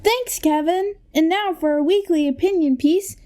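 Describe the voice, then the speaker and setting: Woman playing a high-voiced local news anchor. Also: moderate pace, mellow. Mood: upbeat, polished. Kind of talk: parody newscast in a radio play.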